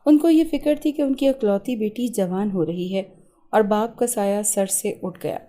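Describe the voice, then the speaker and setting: Woman telling an emotional story, soft dark mellow tone, scripted narration. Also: mid-range voice, normal speed.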